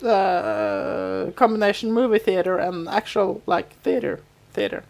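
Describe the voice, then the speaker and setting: A woman co-hosting a podcast, chatting informally about a TV show and explaining things in a halting, conversational way. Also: mid-range voice, gravelly, a little mellow. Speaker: podcast co-host. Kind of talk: casual chat about a TV show.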